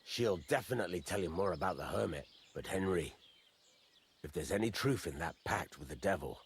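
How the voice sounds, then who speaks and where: An actor playing a gruff, fatherly middle-aged master blacksmith in a medieval video game, blunt and folksy, teasing as he talks. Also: sits low, lively intonation, fairly quick, heavily acted. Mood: confiding. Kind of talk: video game dialogue acting.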